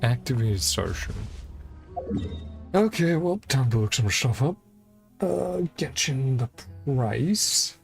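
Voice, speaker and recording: A man streams a game with a mid-pitched voice that often dips into creak. He comments casually and hesitantly, working things out aloud over music. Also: very animated, slow pace, long pauses.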